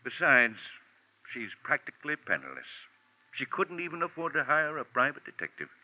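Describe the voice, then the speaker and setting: A man's voice: mid-range voice, huge pitch swings, normal speed. A stuffy, blustering older office boss in vintage radio drama. His intonation swings widely as he fusses and repeats himself. The recording is old and muffled. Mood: earnest. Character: fussy, pompous older insurance general manager. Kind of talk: 1940s radio drama acting.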